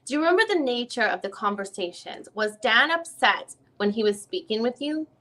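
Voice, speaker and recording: A woman reading the part of a female lawyer who questions a witness in a deposition, in halting, rephrased questions. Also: a little high, animated, bright, forceful tone. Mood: probing. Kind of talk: dramatic reading of a legal deposition transcript.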